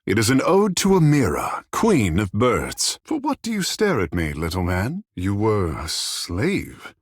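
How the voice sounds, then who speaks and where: A playful fish-man demigod from a video game, kinda low n husky, super poetic and dramatic, very dry sound. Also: wildly swooping pitch, a little slow, no room sound, exaggerated voice. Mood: playful, teasing. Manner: theatrical, lyrical, flowery turns of phrase. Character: proud, playful godlike fish-man artist, young and poetic.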